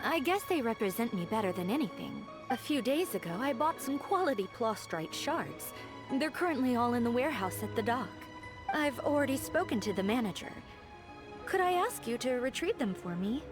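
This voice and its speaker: A polite lantern artisan. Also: female voice, fairly high pitch, big pitch swings, moderate pace. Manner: polite, businesslike requests. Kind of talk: video game voice acting. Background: game music.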